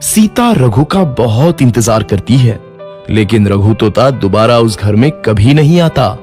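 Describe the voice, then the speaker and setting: A man's voice: medium pitch, lively intonation, measured pace, darkish tone, faint music behind. A man narrating a scripted moral story for children.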